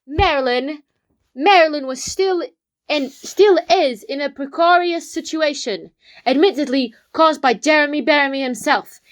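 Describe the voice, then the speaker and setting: A woman playing a confident young detective in an amateur comedy whodunnit, explaining with dramatic pauses. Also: sits high. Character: confident young detective laying out a murder case.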